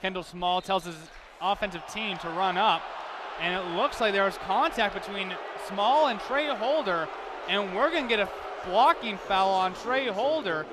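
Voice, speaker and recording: A man's voice: high voice, moderate pace, background noise. A young man giving live play-by-play commentary as a sports announcer at a game.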